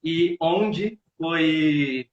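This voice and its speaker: A man's voice: fairly high pitch, extremely slow. A man in his thirties with a Northeastern Brazilian accent, telling stories as a guest in a casual live chat. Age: 30s. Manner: storytelling, repeats words while searching. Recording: roomy, dull lo-fi audio.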